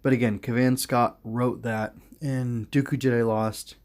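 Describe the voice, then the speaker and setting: A man's voice: mid-range voice, level tone, unhurried, darkish tone, dry recording. A man giving a casual solo review as a podcast host, rambling, thinking aloud and correcting himself as he goes.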